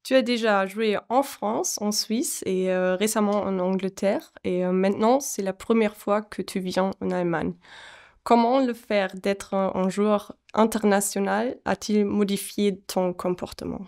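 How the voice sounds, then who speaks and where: A woman's voice: medium pitch, fairly even intonation, a little slow, creaky, a little mellow. A woman interviewing a footballer, reading out prepared questions. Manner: reads prepared questions, friendly laughs.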